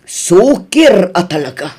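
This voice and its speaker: A woman with an Ilocano accent playing a middle-aged mother, soft with her son and stern with her daughter, in an audio drama. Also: on the low side, very animated, slow pace, breathy edge, full character voice. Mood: scolding, upset.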